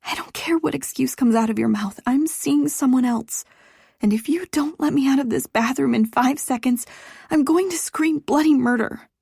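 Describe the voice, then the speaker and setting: A woman's voice: high voice, normal speed, vocal fry, darkish tone, husky, dry recording, clean studio sound, pitched above the performer's own voice, full character voice. A woman playing a defiant young heroine.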